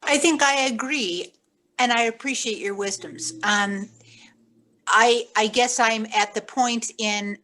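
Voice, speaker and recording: A woman taking part in a workshop on a video call, answering slowly and tentatively. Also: medium pitch, a bit bright. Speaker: workshop participant.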